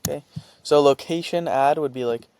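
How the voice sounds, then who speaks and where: A man presenting an informal screen-share tutorial, talking haltingly as he thinks aloud and reads out what he types. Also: on the high side, a little mellow, clear, resonant tone. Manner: halting, thinking aloud while typing and reading out script.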